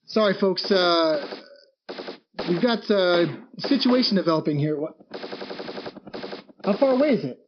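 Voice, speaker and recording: Guy playing a grave defiant radio newsman in an audio drama, really high voice, muffled noisy broadcast. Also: expressive, measured pace, pauses often. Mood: startled, alarmed. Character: grave male war-time radio announcer, increasingly defiant. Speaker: radio announcer. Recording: band-limited radio sound with clear noise.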